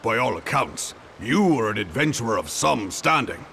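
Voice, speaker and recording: An actor playing a gruff older male ex-gladiator turned politician in a game cutscene, hearty and bluff in manner. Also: mid-range voice, very animated, normal speed, pushed and bright, a little hiss or hum, full character voice. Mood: humble. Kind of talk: video game cutscene voice acting.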